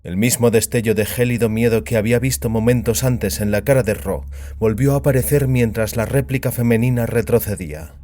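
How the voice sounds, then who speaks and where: Un hombre que narra un relato dramático con guion, como narrador de audioficción. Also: male voice, medium pitch, brisk, few pauses, dark tone, no echo, clean studio sound. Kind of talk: scripted dramatic story narration.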